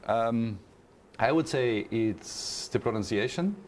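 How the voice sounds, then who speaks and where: A man's voice: medium pitch, expressive, slight background noise. A man from Austria, a native German speaker, answering interview questions in English with an Austrian German accent, thoughtful and full of fillers and restarts. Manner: thoughtful, many pauses and fillers, restarts phrases. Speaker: university lecturer, interview guest.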